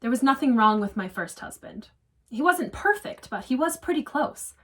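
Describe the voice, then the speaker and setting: A woman performing a dramatic monologue as a regretful, confessional divorced woman, earnest and deliberate. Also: high-pitched, animated, fairly bright tone. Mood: reflective. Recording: voice distant from the mic.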